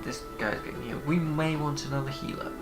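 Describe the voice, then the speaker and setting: A man's voice: medium pitch, fairly even intonation, fast talker, loud music behind the voice. A man with a cold, giving rambling, hesitant commentary while he plays a game. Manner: rambling, hesitant with many fillers. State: congested with a cold. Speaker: gaming channel host. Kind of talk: casual let's play commentary.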